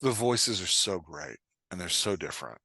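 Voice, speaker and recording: A man's voice: low-pitched, sing-song, normal speed, pushed and bright, no room sound, clean studio sound. A man chatting animatedly as a guest expert on writing, emphatic and joking, often acting out imagined exchanges. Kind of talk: animated casual podcast chat.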